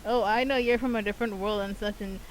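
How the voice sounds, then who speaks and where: A game streamer giving casual, chatty commentary, often halting and stammering over repeated words. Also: mid-range voice, fairly even intonation, slight background noise, low-quality recording.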